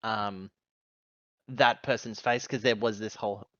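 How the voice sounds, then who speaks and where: A man's voice: on the low side, steady tone, a little slow, fairly bright tone, no echo, dull audio. A man talking casually as a player in a tabletop roleplaying game.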